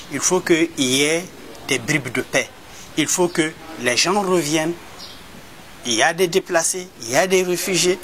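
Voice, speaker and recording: Bright-voiced man explaining with hesitations. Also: a little high. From Mali. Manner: measured, with hesitations and repeated words. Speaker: human rights advocate. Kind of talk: explanatory interview answer.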